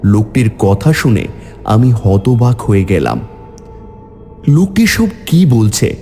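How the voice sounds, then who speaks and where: Animated male horror narrator, mellow tone. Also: on the low side, slow, vocal fry, faint music behind. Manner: theatrical, exclamatory in dialogue. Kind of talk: dramatised first-person horror storytelling for a cartoon.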